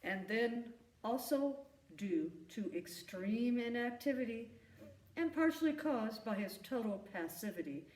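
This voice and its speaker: A woman reading a rhyming children's story aloud in an expressive, emphatic storytelling manner. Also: fairly high pitch, unhurried. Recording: echoey room, distant from the mic.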